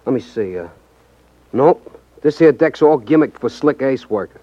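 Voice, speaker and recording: An American actor playing a sly, slangy small-time card sharp with a cagey, streetwise manner in a 1950s radio drama. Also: male voice, mid-range voice, expressive. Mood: eager. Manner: streetwise, cagey, hesitant drawn-out phrases. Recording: muffled 1950s radio recording.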